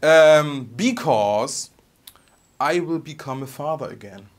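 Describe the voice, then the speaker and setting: A man in his thirties with a heavy German accent, who grew up in Germany, talking casually and haltingly as the host of a personal Q&A video. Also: on the high side, expressive, noisy background. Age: 30s. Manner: soft-spoken, halting, tags sentences with "okay?". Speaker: guitar channel host.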